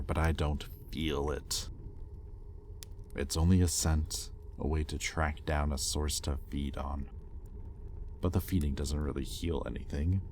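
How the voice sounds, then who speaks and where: A man's voice: very deep voice, slight background noise. A man playing a bitter, conflicted demon in a roleplay audio drama, speaking to his captive with brooding intensity. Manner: brooding, intense, swinging between hushed confession and heated accusation. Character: bitter, conflicted male demon, possessive owner of a captive angel. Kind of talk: scripted roleplay audio acting, addressed to the listener.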